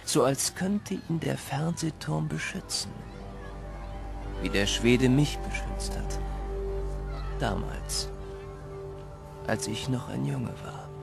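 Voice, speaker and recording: A grown man narrates his memories of an orphan boyhood in a radio play. He is wistful and measured, speaking slowly with a creaky edge, over faint music. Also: mid-range voice. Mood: wistful, reflective. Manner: measured, reflective.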